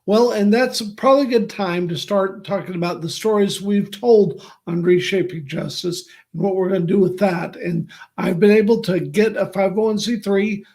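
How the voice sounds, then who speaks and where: A man's voice: high voice, vocal fry, darkish tone. A man hosting a podcast over a video call, chatty and affirming as he interviews a guest. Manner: chatty, quick to laugh, many affirmations. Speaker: podcast host. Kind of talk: casual interview chat.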